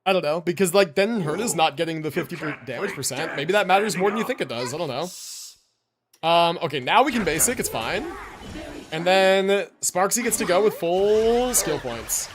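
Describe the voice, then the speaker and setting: A man's voice: sits high, lively intonation, normal speed, a crowd in the background. A man livestreaming a game, commenting casually and answering chat, slangy and sweary as he thinks aloud.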